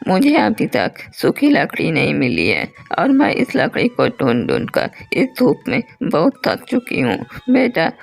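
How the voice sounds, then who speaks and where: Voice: mid-range voice, gravelly, slightly rough, exaggerated voice. A performer voicing a kindly old forest woman in a Hindi cartoon moral story, grateful and full of blessings. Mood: weary, pleading. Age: older. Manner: grateful, blessing, addressing listener as child.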